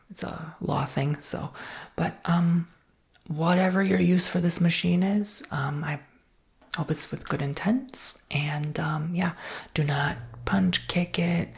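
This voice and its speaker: A woman playing an easygoing, reassuring salesperson in an ASMR roleplay, soft-spoken and partly whispering in an informal, rambling way. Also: deep voice, gravelly, heavily acted. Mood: gently cautioning, reassuring. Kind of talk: ASMR roleplay, improvised customer-service chat.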